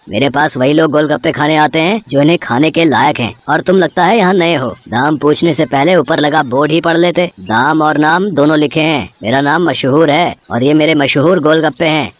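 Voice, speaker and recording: A man's voice: on the high side, dry recording, close to an everyday voice. A man playing a proud, rude, greedy street-food seller in a cartoon moral story, boastful and dismissive. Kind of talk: acted Hindi moral-story cartoon dialogue.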